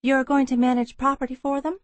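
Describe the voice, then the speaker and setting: A woman voicing a bold, persistent younger woman with crisp, businesslike speech in an audiobook. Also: sits high, flat delivery, fairly quick, dry recording, voice pushed up, close to an everyday voice. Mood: defensive. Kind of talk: audiobook character dialogue.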